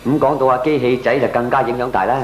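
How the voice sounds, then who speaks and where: A man's voice: medium pitch, steady tone, quick pace, close to an everyday voice. An actor playing a frugal, good-humoured ordinary man, explaining things expressively in comic radio drama with a Hong Kong accent. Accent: Hong Kong Cantonese. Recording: echoey old radio drama recording.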